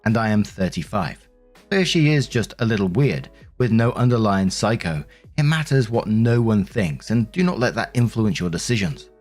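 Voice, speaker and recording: Guy with a lowish voice reading out internet stories, chatty and opinionated, faint music behind him. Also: a little mellow. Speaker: host reading and commenting on online stories. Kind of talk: story narration with casual commentary. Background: background music.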